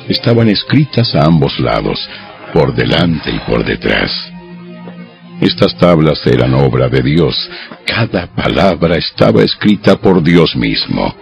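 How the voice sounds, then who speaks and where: A man's voice: very deep voice, vocal fry, muffled recording. A man delivering dramatized scripted Bible narration as the narrator.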